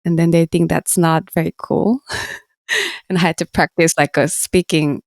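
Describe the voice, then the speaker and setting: A woman in her thirties with a Korean accent, a musician guest talking about her career in halting, earnest English with frequent light laughs. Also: lowish voice, fairly even intonation, a little mellow, dry recording, studio quality. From South Korea. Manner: halting, earnest, laughs often, simple phrasing. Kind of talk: casual interview talk.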